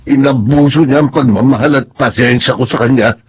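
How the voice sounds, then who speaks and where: A man's voice: medium pitch, brisk, a little mellow, husky, natural voice. A man playing a bitter, grieving young father in a Tagalog radio drama, speaking with resentful melodrama. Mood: bitter grief.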